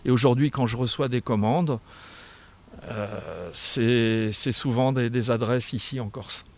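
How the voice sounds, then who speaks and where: A man's voice: medium pitch, a little slow, a little mellow. A man speaking as a guest author in a radio interview, answering in a reflective, hesitant way with trailing phrases. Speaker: guest author and small publisher.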